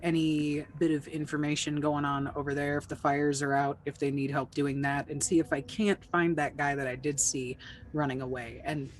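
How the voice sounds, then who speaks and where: Woman rpg player in character, brisk, bossing the squad around. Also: deep voice, flat delivery, a little hiss or hum, rough recording. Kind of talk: in-character tabletop roleplay.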